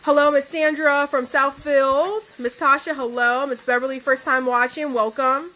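A young woman co-hosting a home cooking stream, reading out viewer greetings in a friendly, steady way. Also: high-pitched, normal speed, slight background noise. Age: young adult. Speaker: co-host reading viewer comments. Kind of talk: reading out live chat greetings. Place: home kitchen, indoors.

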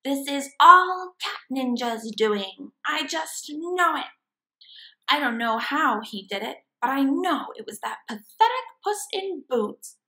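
Hamster mastermind for a comic read-aloud, played by a woman: pompous, grandiose, raised pitch, sharp villainous delivery. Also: lively intonation, takes it slowly, full character voice, low-quality recording. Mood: angry. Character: small evil genius hamster mastermind, pompous and scheming.

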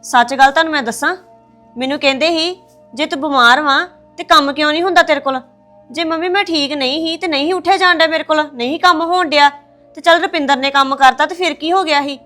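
Woman acting as daughter-in-law, high voice, hurt, pleading, defensive. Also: quick pace, pushed and bright, soft background music. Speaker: daughter-in-law in a family drama. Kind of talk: domestic drama acting.

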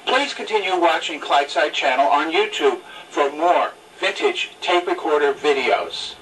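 A man's voice: fairly high pitch, lively intonation, moderate pace, a bit bright, far from the mic, a little hiss or hum, poor audio. A man voicing a friendly talking vintage cassette recorder in a polite, presentational way, as a playful scripted piece. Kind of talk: playful scripted sign-off in character.

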